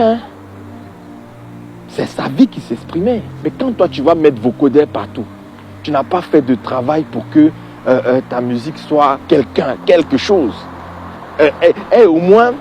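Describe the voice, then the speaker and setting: A man's voice: on the high side, expressive, a little slow, a little mellow, slight background noise. A man giving an informal, emphatic opinion monologue to viewers, conversational and prone to repeating his phrases for weight.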